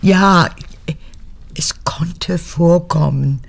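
An actress in a radio drama playing an aged, needy and domineering mother, an unrepentant former guard, with a deep, gravelly voice that moves between pleading and hardness. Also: very slow, full character voice. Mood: agitated, callous. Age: older.

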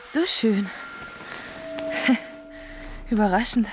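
A woman's voice: mid-range voice, fairly even intonation, extremely slow, over music, poor audio. An actress in a naturalistic radio drama playing a man's tender but easily disappointed companion. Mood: tender, relieved.

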